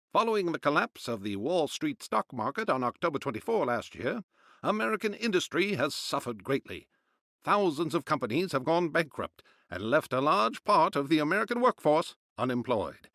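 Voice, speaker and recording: Formal newsreader, animated, higher-pitched. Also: male voice, dry recording, clean studio sound. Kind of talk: scripted news narration.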